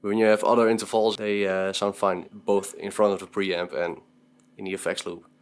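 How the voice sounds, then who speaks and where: A man with a fairly low voice talks through a guitar effects demonstration. His delivery is informal and a little halting, as if working out his thoughts while he speaks. It is casual commentary from a demonstrator, with no scripted polish. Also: little pitch movement. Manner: halting, informal, thinking aloud. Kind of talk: casual product demo commentary.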